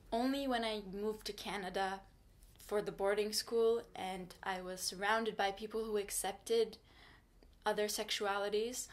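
Young woman, native Turkish speaker, earnest and frank, casual bedroom vlog to camera. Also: medium pitch. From Turkey. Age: young adult. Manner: earnest, frank, advice-giving. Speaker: vlogger. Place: small bedroom indoors. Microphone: camera in front at desk distance.

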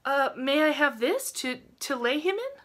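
A woman's voice: sits high, sing-song, unhurried, fairly bright tone, voice pushed up, heavily acted. A woman voicing an anxious, tender-hearted young woman who speaks hesitantly and politely in a storybook. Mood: anxious, pleading.

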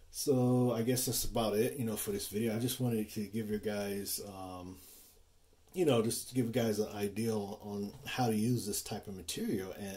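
Low-voiced man with a mellow tone. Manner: informal, halting, repeats himself. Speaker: loudspeaker designer presenting own build. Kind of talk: casual explainer to camera.

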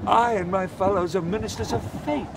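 An actor playing a vengeful airy spirit in harpy form, proclaiming Shakespearean verse with menace in an audio play. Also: male voice, high-pitched, brisk, background noise. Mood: scornful, menacing.

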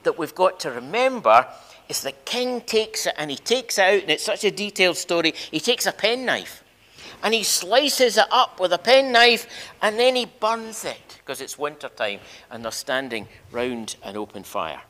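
Older man, church minister, swooping intonation, dramatic pauses, preaching, long hall reverb. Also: high-pitched, big pitch swings, sharp tone. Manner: emphatic, dramatic pauses, repeats phrases. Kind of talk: sermon, storytelling to a congregation. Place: large church interior. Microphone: lectern microphone.

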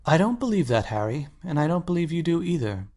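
An actor playing an earnest, anxious painter in a dramatic audiobook, speaking intensely and articulately. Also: male voice, on the high side, sing-song, fast, a little mellow. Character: earnest, anxious male painter confessing his obsession.

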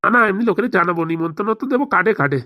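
A woman's voice: fairly low pitch, animated, rapid-fire, bright, forceful tone, dry recording, clean studio sound. A woman voicing a fawning, gullible middle-aged male politician in a cartoon, wordy and deferential. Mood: chatty, deferential. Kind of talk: amateur cartoon voice acting.

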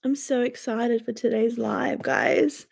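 Young australian woman, high voice, gravelly, unhurried, stuffy sore throat, webcam livestream chat. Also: very animated, darkish tone. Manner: chatty, greets viewers by name, gushing. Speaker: livestream host. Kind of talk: casual live-stream chat with viewers. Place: small bedroom indoors.

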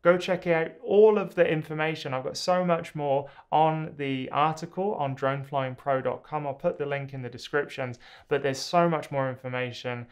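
A man in his thirties casually reviewing drones to camera from a small home studio, relaxed and enthusiastic, with frequent ums and uhs. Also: on the high side, normal speed, hardly any pauses, clean tone.

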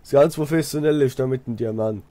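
A man's voice: mid-range voice, fast talker, mellow. A young man with a Swiss German accent chatting casually over a game, telling stories in a rambling, repetitive way. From Switzerland. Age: young adult. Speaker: let's player, apprentice builder. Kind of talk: casual chat while gaming.

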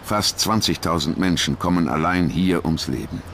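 A man's voice: low voice, lively intonation, moderate pace, vocal fry, fairly bright tone, slight background noise. A man reading scripted documentary narration as the narrator.

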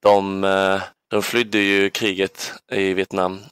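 A man's voice: low voice, completely flat delivery, a bit bright, smooth, no room sound. A man in his twenties from southern Sweden, reminiscing casually as an interview guest in a hesitant, thoughtful way. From Ängelholm, southern Sweden. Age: 20s. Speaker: podcast guest, basketball player.

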